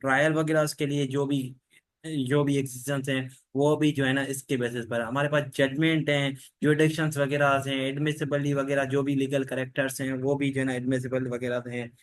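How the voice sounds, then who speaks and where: Man, flat-voiced lecturer, online class, repetitive and filler-heavy. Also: medium pitch, a little fast. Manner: steady, repetitive explaining with many fillers. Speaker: teacher.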